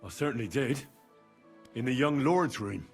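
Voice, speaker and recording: An earnest young medieval investigator for a video game. His delivery is plain-spoken and determined, with animated swings in pitch, over music. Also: moderate pace, breathy edge. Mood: convinced. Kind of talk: video game cutscene acting.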